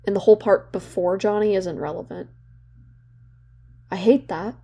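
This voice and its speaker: An actress playing a young woman in therapy in an audio drama, bitter and regretful, confiding in a halting, sardonic, conversational way. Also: medium pitch, dark tone, slightly rough. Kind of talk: naturalistic audio drama monologue.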